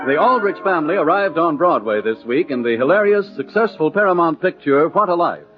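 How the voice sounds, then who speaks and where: A man's voice: a little high, lively intonation, a little fast. A man announcing a 1930s radio comedy in a showy, promotional style. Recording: tinny 1930s radio recording with music.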